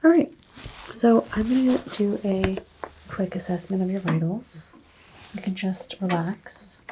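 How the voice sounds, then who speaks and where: A soft-spoken woman doing an ASMR doctor roleplay, asking unhurried exam questions and slipping into whispers. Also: mid-range voice, background noise.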